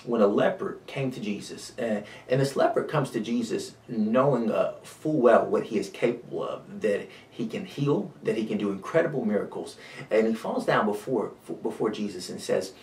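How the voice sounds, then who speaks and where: A man delivers a devotional talk as a pastor, earnest and measured, pausing around repeated phrases. His voice sits on the low side with a gravelly fry. The recording is echoey, with the microphone some distance from him. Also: expressive, darkish tone. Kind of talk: short devotional sermon talk. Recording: roomy echo, distant microphone.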